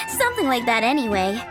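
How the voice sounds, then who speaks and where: A woman's voice: sits high, full character voice. A woman playing a cheerful young flower shop girl in a video game.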